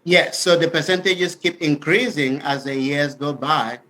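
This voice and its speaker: Male interview guest from Cameroon with that accent, bright and crisp-edged, instructive and sincere. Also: on the high side, measured pace. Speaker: podcast guest, business author. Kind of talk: explanatory interview talk.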